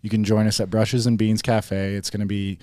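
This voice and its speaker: A man co-hosting a podcast in relaxed, casual conversation. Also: fairly low pitch, a little fast, no echo. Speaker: podcast co-host. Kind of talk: casual chat.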